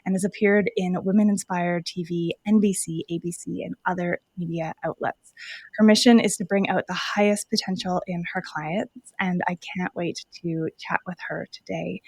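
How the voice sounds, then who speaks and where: A woman hosting a spiritual podcast, reading a soft intro and then chatting warmly with her guest. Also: medium pitch, dry recording. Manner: soft, gentle scripted intro turning upbeat and chatty. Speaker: podcast host.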